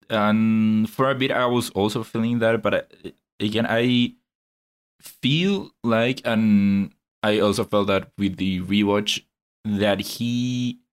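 A man co-hosting a film review podcast, giving his view slowly and thoughtfully over a call. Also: lowish voice. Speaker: podcast co-host. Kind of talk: casual film review chat.

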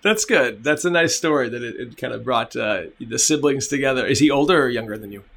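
A man with a noticeably creaky, gravelly edge conducts a casual interview. His pitch is average for a man, and he speaks conversationally as he asks his questions. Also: a little fast, fairly bright tone, a little hiss or hum.

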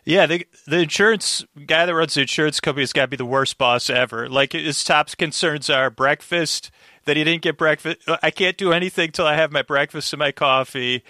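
A man's voice: on the high side, brisk, bright, forceful tone, no room sound, studio quality. A man as a podcast guest, chatting casually with a wry manner and slipping into mock dialogue. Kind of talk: casual chat.

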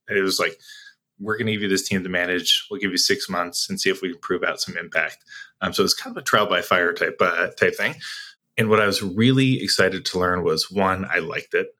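A man's voice: sits low, bright, forceful tone, poor audio. A man answering interview questions as a sales executive guest, talking conversationally in a reflective, explanatory way.

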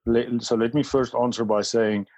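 A man's voice: mid-range voice, steady tone, normal speed, a little mellow, dry recording. A South African man whose first language is Afrikaans, speaking as a church leader in an interview, thoughtful, talking in bursts with many fillers and restarts. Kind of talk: interview conversation.

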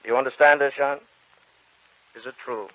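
An actor playing a stern church inquisitor in an old-time radio drama, with a commanding, theatrical, threatening delivery. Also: male voice, medium pitch, steady tone, moderate pace, natural voice. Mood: solemn, cold. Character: stern, menacing male church inquisitor judging a heretic. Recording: dull, band-limited old radio recording.

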